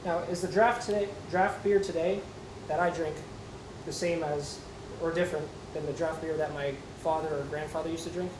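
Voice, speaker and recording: A man's voice: on the high side, steady tone, normal speed. A man working as an interviewer, putting prepared questions to his guest. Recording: roomy echo with background noise.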